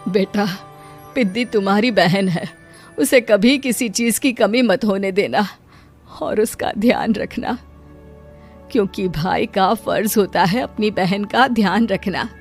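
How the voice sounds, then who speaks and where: A woman's voice: medium pitch, measured pace, soft background music, close to an everyday voice. A woman voicing a solemn mother who gives earnest, instructive advice in a cartoon story. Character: solemn mother advising her son.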